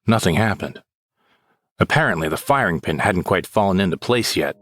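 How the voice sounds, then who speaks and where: A man's voice: low voice, animated, moderate pace, no echo. A man narrating a comic audio drama in the first person, in a wry, theatrical storytelling manner. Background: music.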